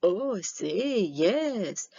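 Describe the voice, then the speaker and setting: Simple storybook servant lad, acted by a woman: big, naive, slow, lower and softer. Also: very animated, creaky, husky, no room sound, highs cut off, full character voice. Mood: grateful, eager. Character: simple, inattentive big young man, a servant.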